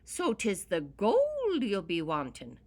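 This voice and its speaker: A tiny male leprechaun squeals and crows in a high, sly voice full of wild swoops, put on by a woman reading a storybook aloud. He is loud and shrill. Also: sing-song, measured pace, full character voice.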